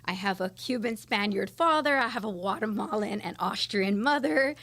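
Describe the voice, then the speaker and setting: A woman's voice: mid-range voice, animated, normal speed, fairly bright tone. American woman explaining in an interview. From Miami, United States. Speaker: interview guest, esthetician. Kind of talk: conversational interview answers.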